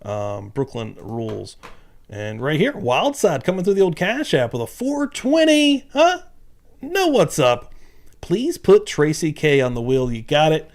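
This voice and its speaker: A man hosts a livestream in an excitable, profane manner, firing off hyped shout-outs. His pitch swings widely as he talks. His voice is wearing thin from use. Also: measured pace. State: losing his voice. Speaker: livestream host. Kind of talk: casual livestream chat.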